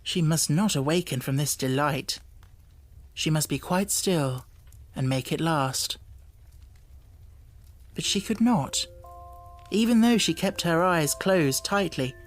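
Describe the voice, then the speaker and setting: A woman reading an audiobook as narrator, with deliberate phrasing and expressive, dramatic emphasis. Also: low-pitched. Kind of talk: dramatic scripted storybook narration. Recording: music bed under the voice. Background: music.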